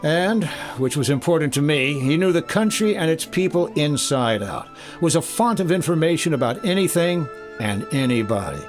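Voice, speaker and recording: Guy as a wry radio detective, fifties style, lively highish voice, music behind. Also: natural voice. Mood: wry narration. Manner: brisk, wry, questioning. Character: wry, suspicious male American insurance investigator. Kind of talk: 1950s radio detective acting, first-person narration.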